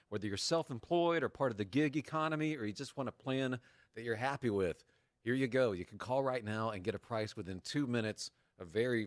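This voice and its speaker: A man's voice: fairly low pitch, no echo, studio quality. A man reading a radio advertisement in an upbeat, persuasive way. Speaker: ad announcer. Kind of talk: read advertisement.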